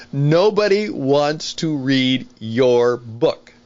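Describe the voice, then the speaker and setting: An older man hosting a talk show with a conversational opinion monologue, speaking in an emphatic, deliberate, explanatory way. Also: mid-range voice, animated, darkish tone, highs cut off. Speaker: podcast host and veteran broadcaster.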